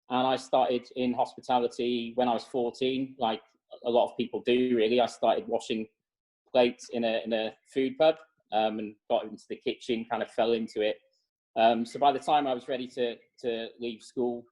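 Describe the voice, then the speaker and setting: A man's voice: medium pitch, flat delivery, moderate pace, a little mellow, rough recording. A man, answering interview questions steadily on a video call, with many fillers. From North Wales. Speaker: executive chef, guest.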